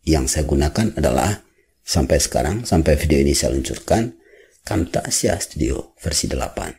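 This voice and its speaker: A man's voice: extremely low pitch, very animated, moderate pace, vocal fry, a little mellow, slightly rough. A man from West Sumatra with a noticeable Minangkabau accent, talking candidly to his viewers as a YouTuber about his channel, earnest and emphatic. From West Sumatra, Indonesia. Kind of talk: reflective talk to camera.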